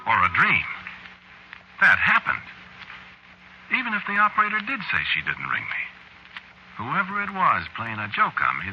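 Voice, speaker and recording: Vintage radio drama: nervous man narrating, deep and very animated, halting, conversational, agitated. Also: dull audio, a little hiss or hum, heavily acted. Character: rattled, jittery radio announcer recounting his nightmarish night. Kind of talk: old-time radio drama acting, first-person narration.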